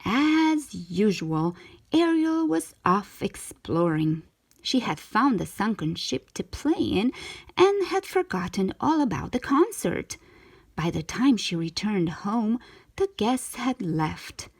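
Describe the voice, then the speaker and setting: A woman reading a children's storybook aloud in an expressive, measured storytelling manner. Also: mid-range voice, slightly rough. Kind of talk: scripted storybook narration, read aloud.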